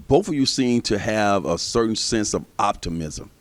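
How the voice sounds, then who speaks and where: A man's voice: on the low side, expressive, unhurried, no room sound. A man hosting and moderating a TV panel discussion, asking questions in a casual, conversational way. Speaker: TV host and moderator.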